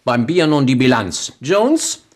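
An actor playing a domineering, impatient boss of eccentric collectors in a radio play, giving clipped commands sprinkled with English words. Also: male voice, medium pitch, sing-song, slow. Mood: stern, impatient. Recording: music behind the voice.

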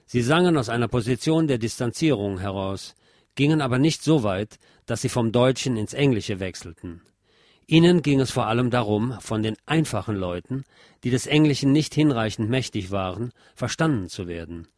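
A man presenting a music history programme in scripted, measured and articulate documentary narration. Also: mid-range voice, lively intonation, darkish tone, dry recording, studio quality. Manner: measured, articulate lecturing delivery.

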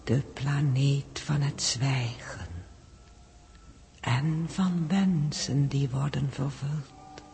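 A very slow, hushed voice of a living planet. It speaks like a mysterious oracle, breathy and solemn, with music in an old radio play. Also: medium pitch. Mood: enigmatic, hushed. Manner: whispery, slow, oracular. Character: soft, enigmatic living planet speaking to an astronaut. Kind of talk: 1960s radio drama acting. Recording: 1960s radio recording with music.

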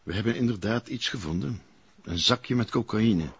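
An actor playing a smug, formal police investigator with a Flemish accent and an insinuating manner in a TV crime drama. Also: male voice, fairly low pitch, normal speed, breathy edge, no room sound, highs cut off. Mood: deferential. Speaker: police officer. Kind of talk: naturalistic TV crime drama acting.

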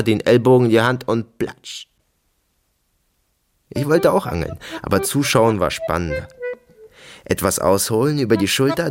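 An actor playing a brooding soldier haunted by family memories, in a halting, fragmentary radio-drama monologue. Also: male voice, on the low side. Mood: nostalgic. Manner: halting, fragmentary, repetitive musing.

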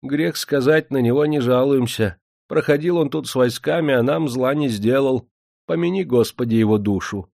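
A man's voice: medium pitch, normal speed, vocal fry, a little mellow, no room sound, clean studio sound, pitched above the performer's own voice, lightly acted. A man voicing a meek, pious old serf woman in a historical audiobook, humble and unruffled. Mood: tearful reverence.

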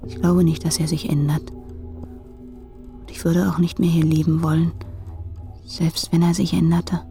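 An actress playing a tired, yearning married woman, speaking in a breathy, subdued, intimate way in a radio drama. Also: low voice, little pitch movement, measured pace, dark tone, faint music behind. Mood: weary. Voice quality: breathy, husky. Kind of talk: intimate radio play acting.

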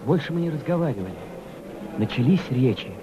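An actor plays an earnest Soviet delegate, a man of medium pitch telling his story with deliberate, dramatic phrasing. The radio-drama recording is somewhat muffled. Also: lively intonation, background noise, close to an everyday voice. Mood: earnest, intent. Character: earnest Soviet delegation member recalling events. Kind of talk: first-person narration in a radio drama. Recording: dull old recording with background ambience.

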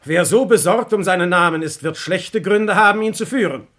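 An actor playing a lofty, self-assured master of the house in a classical verse radio drama, imperious and declamatory. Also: male voice, high voice, normal speed, a bit bright. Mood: composed, commanding.

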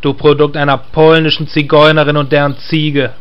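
An actor playing a calm man with sudden crude cursing fits in a comedy audio drama. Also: on the high side, normal speed, smooth, lo-fi sound, lightly acted. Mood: gruff. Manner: calm then crude outbursts.

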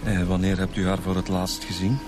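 Wry Flemish detective played by a man, fairly low voice. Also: little pitch movement, a little fast, lightly acted. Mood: businesslike. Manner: dry, casual, wry. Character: wry, jokey male homicide detective inspector. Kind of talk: naturalistic radio crime drama acting. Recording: music under the dialogue.

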